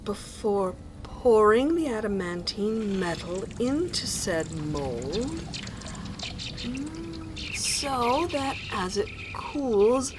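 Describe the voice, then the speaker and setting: A woman's voice: mid-range voice, noisy background. A woman playing a vengeful, doting sorceress in a fantasy audio drama, theatrical and sweetly sinister. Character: vengeful, doting evil sorceress who forges cursed weapons.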